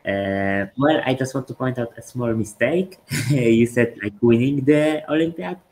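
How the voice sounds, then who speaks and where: A man's voice: medium pitch, measured pace, a little mellow, a little hiss or hum. A young man from Poland, a podcast guest joining by video call, answering casually and hesitantly with many fillers and small laughs. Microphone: video call webcam.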